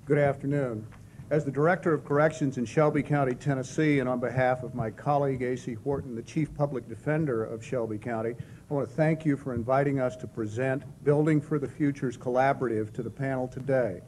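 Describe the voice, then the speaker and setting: A man with a non-Southern accent, the head of a county's corrections division, giving a formal prepared presentation in a measured, steady way. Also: mid-range voice, a little hiss or hum. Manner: measured, reads statistics steadily. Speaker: director of corrections presenting a program. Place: hall at a press club.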